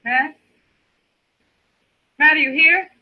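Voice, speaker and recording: A woman's voice: high-pitched, slow, no room sound. An American woman playing a desperate, headstrong young frontier woman in an old-time radio western, pleading with insistent emotion. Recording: dull lo-fi vintage radio recording.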